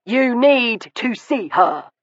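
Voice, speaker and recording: A woman voicing a furious, blustering older man who shouts and mocks in a heated rush, on a dull, dry recording. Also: medium pitch, animated, dry recording, pitched above the performer's own voice. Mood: blustering rage. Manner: shouting, mocking, fast and heated.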